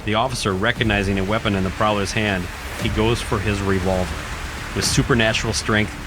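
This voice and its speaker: A man reading scripted horror narration in a dramatic, deliberate storytelling manner. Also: fairly low pitch, steady tone, background noise. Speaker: narrator, podcast host.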